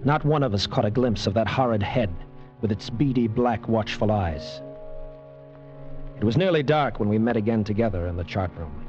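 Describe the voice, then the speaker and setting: A male actor as a weary, guilt-ridden storyteller in a golden age radio thriller. His voice is low and his delivery grave and literary, full of suspense. The recording is dull and vintage, with music behind him. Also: animated, normal speed, darkish tone, lightly acted. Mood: grave, ominous. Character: guilt-ridden weary male narrator of a sea terror tale. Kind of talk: 1940s-50s radio drama narration, grave suspense. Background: dramatic music.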